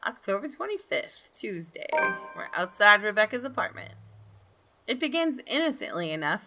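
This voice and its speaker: A woman hosting a gaming video, chatting casually and reading game text aloud in an animated, informal way. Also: mid-range voice, normal speed. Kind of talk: casual commentary and read-aloud of visual novel text. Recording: faint game music behind. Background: soft game music.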